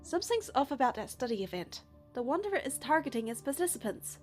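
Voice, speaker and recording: A woman's voice: high voice, sing-song, fast talker, a little mellow, faint music behind. A young woman reading a game's story narration and first-person dialogue aloud in a scripted style. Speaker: narrator of a game playthrough.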